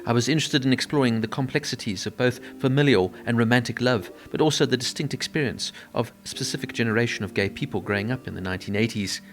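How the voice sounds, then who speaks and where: A man's voice: medium pitch, quick pace, few pauses. Man reading a film review. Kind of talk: scripted narration.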